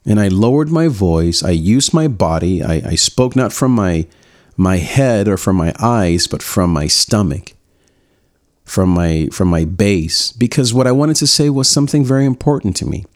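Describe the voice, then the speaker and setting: Man, low voice, casual advice talk, reflective, self-correcting. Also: expressive, moderate pace, darkish tone. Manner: reflective, self-correcting, acts out quoted lines. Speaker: speaker giving social skills advice. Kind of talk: casual spoken lesson with personal anecdote.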